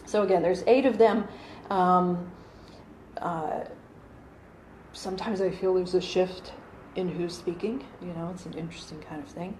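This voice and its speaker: A woman's voice: fairly low pitch, steady tone, unhurried. Chatty woman in an echoey room. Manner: chatty, many fillers and restarts, soft laughs. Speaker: channeler. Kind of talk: casual explanation to a small group. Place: living room, indoors. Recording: roomy with faint background hum.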